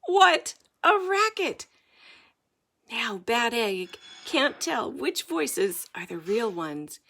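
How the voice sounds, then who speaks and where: A woman reading a children's story aloud as an expressive narrator, dramatic and deliberate in her delivery. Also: fairly high pitch. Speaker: storybook reader.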